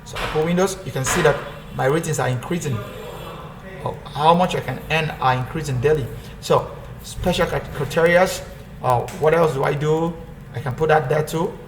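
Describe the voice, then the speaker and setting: A man with a fairly high voice walks through a screen recording in a loose, rambling way, often restarting and repeating himself. His voice rings in an echoey room, with a faint hum underneath. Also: normal speed. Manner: rambling, self-correcting, repeats phrases. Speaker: online earning tutorial host. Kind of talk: casual screen-share walkthrough.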